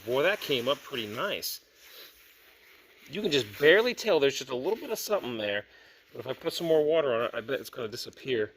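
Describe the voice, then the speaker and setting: Man, casual product reviewer, folksy, enthusiastic, medium-pitched voice, thinking out loud, background noise. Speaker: game shop owner and product reviewer. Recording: clearly noisy room recording.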